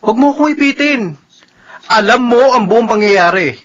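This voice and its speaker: A man's voice: very high-pitched, lively intonation, moderate pace, fairly bright tone. An actor playing a decent male office worker, flustered and protesting in exasperation, in a radio drama. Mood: indignant. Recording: dull, muffled radio drama audio.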